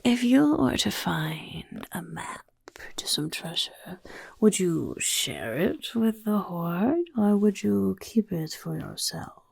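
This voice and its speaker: A woman voicing a scheming male goblin who interviews recruits for a chaos horde, in a whispery soft-spoken ASMR roleplay, talking of himself in the third person. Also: medium pitch, sing-song, slow, no room sound, heavily acted. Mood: curious. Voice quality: gravelly.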